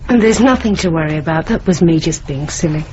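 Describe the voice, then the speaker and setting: An actress playing a gentle woman reassuring her mother, soft in manner, in a British radio drama. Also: deep voice, expressive, quick pace. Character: gentle, reassuring adult daughter hiding her worry. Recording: dull lo-fi radio recording, clear noise.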